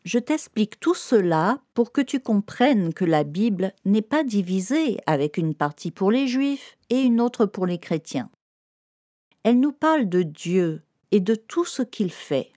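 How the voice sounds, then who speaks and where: A woman's voice: medium pitch, sing-song, measured pace, warm tone, no room sound, clean studio sound. A woman narrating a scripted lesson for children, explaining simply and directly as if to one child.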